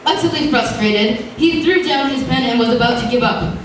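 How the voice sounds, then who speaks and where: A woman storyteller reading a comic fairy tale and verse theatrically at a live show, with deliberate, playful emphasis. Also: high-pitched. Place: indoor stage show, room ambience behind. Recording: very echoey live hall, distant mic, noisy.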